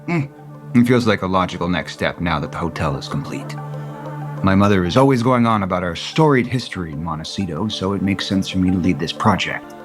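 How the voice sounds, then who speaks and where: An actor playing a wistful wealthy property developer in an audio soap opera, speaking tenderly and haltingly. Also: male voice, sits low, expressive. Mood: earnest, hopeful. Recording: music bed under the dialogue.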